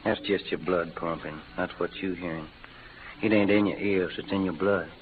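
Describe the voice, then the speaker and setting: Actor as low-voiced drawling Western cowboy. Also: male voice, steady tone, moderate pace, lightly acted. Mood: tender, firm. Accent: American Western. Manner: drawling cowboy slang, wry. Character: folksy cowboy gunman, modest, easygoing, lovestruck. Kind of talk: old-time radio western acting. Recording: tinny, noisy old radio recording.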